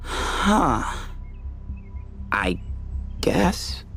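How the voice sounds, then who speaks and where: Cocky young temple boss in a game, joking around, voice bouncing up and down. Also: male voice, fairly high pitch, sing-song, extremely slow, gravelly, fairly bright tone, faint music behind, heavily acted. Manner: breezy and teasing, shifting to serious. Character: confident young desert temple leader, playful. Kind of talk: video game voice acting.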